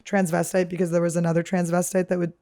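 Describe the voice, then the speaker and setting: A woman with a deep, damaged-sounding voice hosts a comedy podcast from a studio. She rambles nonstop through crude, self-mocking stories. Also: flat delivery, fast, warm tone. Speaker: podcast host.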